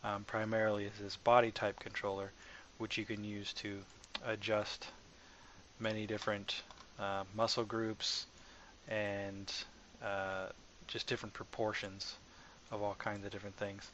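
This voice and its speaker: A man narrating a casual software tutorial as the developer, informal and hesitant, as if thinking aloud. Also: on the low side, completely flat delivery, unhurried. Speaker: software developer presenting own asset package. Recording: dull lo-fi mic with faint background noise.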